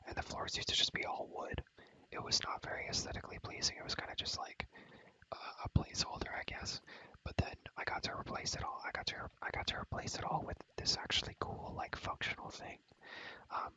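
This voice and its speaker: A man's voice: a little fast, rough recording. A man whispering an ASMR video game tour, rambling and repeating his phrases over and over. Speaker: video creator showing a Minecraft world.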